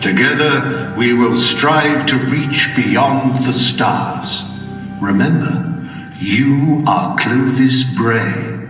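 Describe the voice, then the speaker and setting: Automated game announcer, formal, solemn, very slow, heavy echo, public address, music behind. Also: male voice, medium pitch. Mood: grandly welcoming. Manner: stately, solemn, deliberate. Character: grand, formal automated facility announcer, male. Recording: very echoey hall, far off, music behind.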